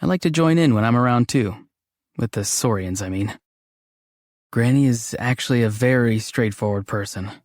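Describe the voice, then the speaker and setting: A gentle, dreamy young man from a fantasy game, performed by a male actor, speaks in a mid-pitched voice. He muses in a deadpan, slightly hesitant and awkward way. The sound is close and dry, with no room around it. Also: moderate pace, no room sound, lightly acted. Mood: earnest, awkward. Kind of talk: scripted game character voice lines.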